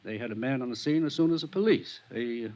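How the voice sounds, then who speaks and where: A man's voice: mid-range voice, fast talker, a little mellow, close to an everyday voice. A man acting in a 1950s radio drama as a wealthy, genteel, evasive homeowner, speaking in a polite, formal, slightly haughty way. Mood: regretful, formal. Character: genteel, wealthy, evasive male mansion owner. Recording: muffled 1950s radio recording.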